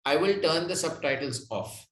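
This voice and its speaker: A man's voice: on the high side, animated, normal speed, fairly bright tone. A man answering interview questions as a guest, explaining conversationally and often checking in with the listener. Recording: roomy, echoey sound.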